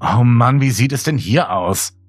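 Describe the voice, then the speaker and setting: Man voicing a warm, teasing mother, slightly raised pitch. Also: expressive, unhurried, husky, no echo.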